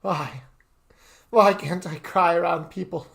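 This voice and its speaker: Story role, read by a man: mournful young heir, sullen and argumentative, fairly high male voice. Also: fairly even intonation, slow, gravelly, fairly bright tone, full character voice. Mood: upset, pleading. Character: grieving young upper-class heir, tearful and stubborn.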